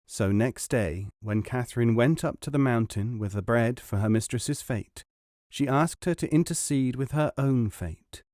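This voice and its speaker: A man reading an old folk tale aloud with measured, expressive storytelling. His voice sits in a middle pitch, recorded close and dry with no room around it. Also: dry recording. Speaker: audiobook narrator. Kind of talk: scripted storybook narration.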